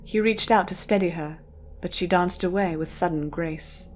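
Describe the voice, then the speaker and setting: A woman's voice: fairly low pitch, normal speed, a little hiss or hum. A woman reading scripted storybook narration as the narrator. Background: music.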